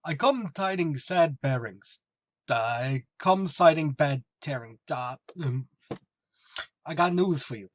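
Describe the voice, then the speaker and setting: A man playing a dim, bumbling servant who haltingly muddles his grand lines in an old-time radio comedy. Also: on the high side, lively intonation, no echo. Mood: muddled, earnest. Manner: halting, stilted stage declaiming with spoonerisms.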